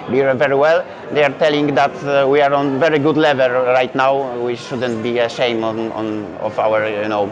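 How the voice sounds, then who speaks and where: Halting Polish-accented young man at a festival. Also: mid-range voice. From Poland. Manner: halting, hesitant, many fillers and restarts. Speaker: brewery founder. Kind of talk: festival interview. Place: indoor beer festival hall. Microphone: lapel mic. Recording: dull lo-fi sound with festival crowd chatter.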